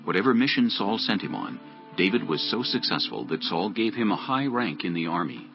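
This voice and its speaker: Male Bible narrator, gravelly and dramatic, muffled recording with faint music underneath. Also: low voice, sing-song, brisk. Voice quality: gravelly, husky. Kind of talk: scripted dramatic scripture narration.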